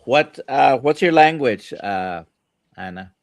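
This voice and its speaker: A man with a medium-pitched voice moderates a livestream in a casual way. He uses short questions and remarks, and the sound is dry and close. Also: expressive, slow, dry recording. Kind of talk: casual hosting.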